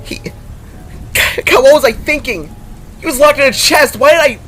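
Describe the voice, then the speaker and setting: Man acting a distraught, high-pitched teenage boy. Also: moderate pace, fairly bright tone, slight background noise. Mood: distraught, guilty. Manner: stammering, pleading outbursts. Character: distraught teenage boy, guilt-ridden, pleading and defiant.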